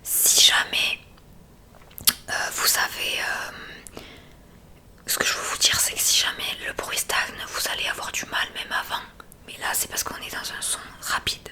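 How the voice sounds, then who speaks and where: A woman's voice: unhurried, sharp tone. Whispering woman in an ASMR hearing-exam roleplay. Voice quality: breathy unvoiced whisper. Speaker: ASMR creator playing a hearing examiner. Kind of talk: whispered ASMR medical roleplay, addressing the listener.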